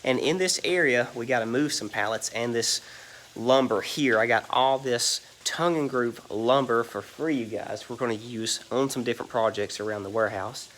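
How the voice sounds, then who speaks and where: A man's voice: mid-range voice, normal speed, a bit bright, slight background noise. Rambling man with a stuffy nose. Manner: rambling, many ums and "you guys". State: stuffy, nasal from allergies. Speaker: reseller giving a warehouse tour. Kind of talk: casual walk-through vlog. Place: large indoor warehouse.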